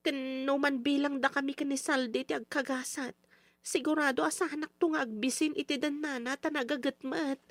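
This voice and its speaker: A woman's voice: high voice, fairly even intonation, normal speed, no room sound. A woman playing a principled, defiant young daughter in a radio drama, with an Ilocano accent and an insistent, pleading manner.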